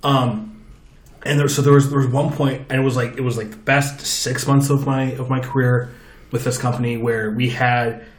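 A man with a loud, booming voice, telling stories animatedly in a casual chat at a table with friends. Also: normal speed. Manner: chatty, animated storytelling with restarts. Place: table with four people. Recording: roomy echo.

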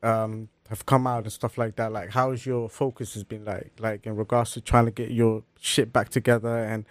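A man co-hosting a casual chat, quick and eager to hype things up. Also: on the low side, little pitch movement. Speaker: co-host.

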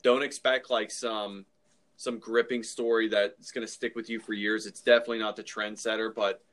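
A man's voice: lowish voice, flat delivery, moderate pace, a bit bright. A man hosting a casual movie discussion in a rambling, hedging way. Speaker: podcast host.

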